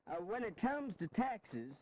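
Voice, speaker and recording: A man's voice: high voice, very animated, measured pace, darkish tone, exaggerated voice. An actor playing a wily, genteel statesman in a historical audio drama, drawling and folksy. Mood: coaxing. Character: genteel, wily Southern statesman defending slavery, male.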